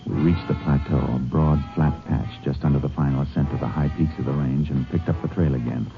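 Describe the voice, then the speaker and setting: Brief: a level-headed lawman for a 1950s radio western, very deep, clipped, dull old broadcast sound. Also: a little fast, over loud music. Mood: narrating. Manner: calm, clipped, matter-of-fact. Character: steady, shrewd male Texas Ranger tracker. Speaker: Texas Ranger and narrator. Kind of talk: 1950s radio drama acting.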